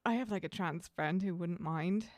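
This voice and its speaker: A woman hosting a casual livestream interview, chatty and animated, with frequent laughs and fillers. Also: on the low side, moderate pace, dry recording.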